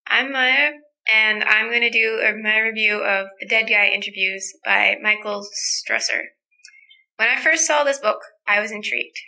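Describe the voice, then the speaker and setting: A woman's voice: medium pitch, moderate pace, bright, forceful tone, poor audio. A teenage girl giving a prepared book review for a podcast. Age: teen.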